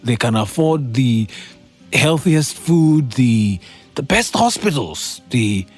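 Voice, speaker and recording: An actor with a Nigerian accent playing a lovesick, self-doubting young copywriter in a conversational, hesitant audio drama style. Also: male voice, medium pitch, big pitch swings, slow, soft background music. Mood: wry, grumbling. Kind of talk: naturalistic audio drama acting.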